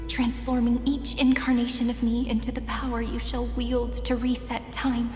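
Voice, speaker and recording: A woman playing a gentle, wistful young storyteller in a fantasy game, speaking in a tender, reflective way. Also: fairly high pitch, close to an everyday voice. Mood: solemn. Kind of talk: dramatic fantasy game dialogue. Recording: echoey long reverb over music.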